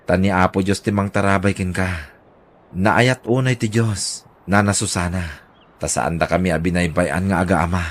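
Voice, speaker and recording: A man's voice: sits low, fairly even intonation, normal speed, close to an everyday voice. A man with an Ilocano accent playing a loving, pious, humble old father in a radio drama. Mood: humble, devout.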